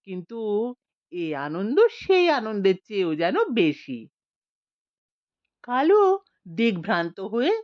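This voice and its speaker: Woman, comic audio story narrator, very lively swooping intonation, dramatic pauses, slightly dull lo-fi recording. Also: medium pitch. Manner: expressive, theatrical emphasis with dramatic pauses.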